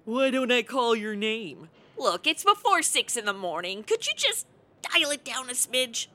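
A woman's voice: a little high, big pitch swings, a little slow, pushed and bright, full character voice. A woman acting a sardonic, irritable, hard-drinking publicist in a comic radio play, with slangy, sarcastic delivery. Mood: grumpy, sarcastic.

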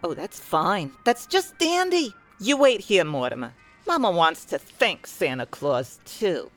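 A woman's voice: lowish voice, very animated, a little slow, soft background music. A woman playing a harried, slangy, tough-talking mother out shopping in a radio play. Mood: sarcastic. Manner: blunt, slangy, sardonic.

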